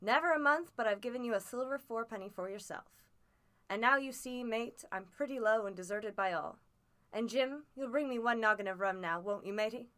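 A cursing old sea captain, sick in bed, as a woman reader puts him on, with rough sailor slang. His voice is feeble yet hearty and pushed a little louder than the narration, with a bright edge. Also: a little high, a little fast, natural voice. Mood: pleading. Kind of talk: audiobook character voice.

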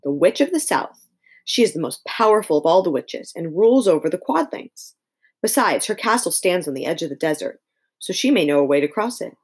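Casting: timid storybook soldier, read by a woman, explanatory, plain-spoken, with varied pitch. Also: sing-song, fairly quick, breathy edge. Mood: informative. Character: timid male soldier with green whiskers, informative.

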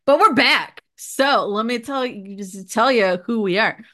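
A woman in her thirties hosting a podcast, talking in a relaxed, casual way with lots of ums. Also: a little high, measured pace. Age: 30s. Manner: relaxed, hesitant, many ums. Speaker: podcast host. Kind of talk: casual chat.

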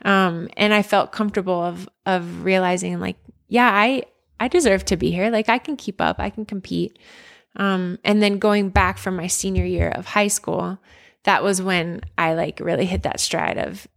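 A woman's voice: on the low side, moderate pace, creaky. A woman in her forties from near Philadelphia, a former basketball player speaking as an interview guest. She talks in an earnest, candid way, full of fillers and restarts. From United States, near Philadelphia. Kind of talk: reflective conversational interview.

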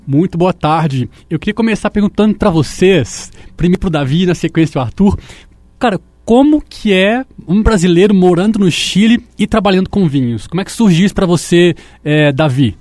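A male radio host with a fairly high voice asks questions with enthusiasm. The recording is close and dry, with no room sound. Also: animated, normal speed, a little mellow, no echo. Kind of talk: radio interview.

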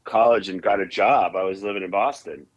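Low-voiced Canadian-born man, rambling. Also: a little fast, lo-fi sound. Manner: rambling, restarts often with fillers. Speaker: comedian guest. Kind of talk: casual interview chat.

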